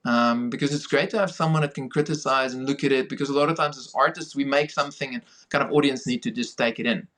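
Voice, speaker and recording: A man's voice: medium pitch, fairly even intonation, fast talker, hardly any pauses, a bit bright. A man with a South African accent giving conversational interview answers as a filmmaker guest on a podcast.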